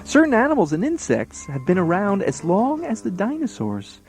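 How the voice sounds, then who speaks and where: A man's voice: high-pitched, wildly swooping pitch, normal speed, darkish tone, faint music behind. A man working as a children's entertainer, playing the straight man in comedy banter and explaining things to young listeners.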